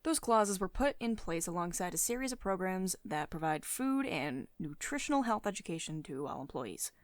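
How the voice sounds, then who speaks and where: A woman's voice: lowish voice, expressive, no room sound, natural voice. Woman acting a poised, dominant female CEO, close and dry. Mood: explanatory, authoritative. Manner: measured, authoritative, condescendingly affectionate. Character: poised, teasing, mildly dominant female CEO of tech firm. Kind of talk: ASMR roleplay, intimate one-sided dialogue. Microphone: close studio-style microphone, dry voice-over.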